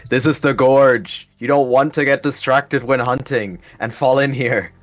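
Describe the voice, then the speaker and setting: A man's voice: mid-range voice, fairly even intonation, a little slow, pitched above the performer's own voice, close to an everyday voice. A man voicing a stern, guarded adult warrior cat mentor in a fantasy roleplay. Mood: urgent.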